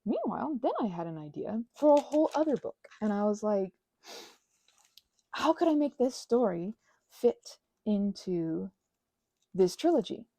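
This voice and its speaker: A woman chatting casually in a rambling, digressive way to her audience, animated and poking fun at herself. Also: mid-range voice, a little slow, no room sound. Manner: animated, self-mocking, digressive. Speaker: hobbyist creator talking to her audience. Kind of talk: casual rambling chat, ASMR channel.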